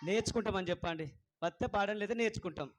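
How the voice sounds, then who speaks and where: A man's voice: sits high, quick pace. A man hosting a children's puppet show, encouraging and instructive, often putting questions to the kids. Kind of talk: animated children's ministry presenting.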